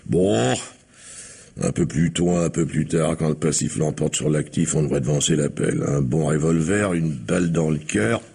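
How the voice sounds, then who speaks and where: Gravelly, low-voiced urbane cynic. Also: male voice, normal speed, dark tone, heavily acted. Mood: cynical, mocking. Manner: sardonic, blunt, urbane. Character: cynical, sardonic bon vivant, bleakly worldly. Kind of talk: French mystery radio drama acting.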